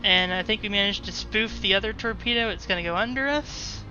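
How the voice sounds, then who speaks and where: A woman's voice: mid-range voice, fairly quick. A woman giving live gameplay commentary, explaining each command and move as it happens. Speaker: gaming video host. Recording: muffled narrow band, music behind. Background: game music and engine sounds.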